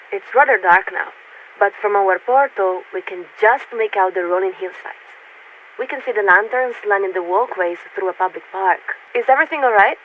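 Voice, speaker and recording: A composed, idealistic warship radio officer for a video game, comes through a narrow, tinny radio link with some static. The voice is formal and courteous, choosing each word with care. It sits at a middling-to-high pitch and stays articulate and measured. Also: female voice. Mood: checking, concerned. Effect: radio transmission filter.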